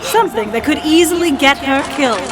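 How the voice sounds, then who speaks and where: A woman's voice: high voice, animated, brisk, very noisy. A woman playing a showy, bloodthirsty arena announcer in an audio drama, calling a deadly fight with theatrical glee. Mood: gleeful hype. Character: gleeful, bloodthirsty female arena announcer, showy host of death games. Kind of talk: excited fight commentary. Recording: loud music and crowd behind.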